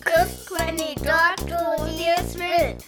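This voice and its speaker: A woman's voice: extremely high pitch, level tone, slow, over loud music. A woman playing a taunting little schoolgirl chanting in a musical radio play. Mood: teasing.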